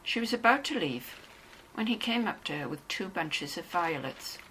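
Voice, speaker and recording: A woman's voice: fairly low pitch, expressive, normal speed, sharp tone, poor audio. A woman narrator reading a novel in a scripted, storytelling style. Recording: faint background noise and ambience.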